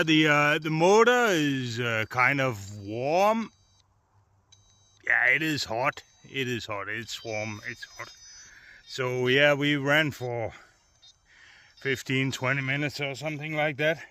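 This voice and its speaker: A man with a bright, forceful voice at an average pitch gives a casual hobby review. He speaks off the cuff as an enthusiast reviewer, rambling a little and feeling his way through phrases.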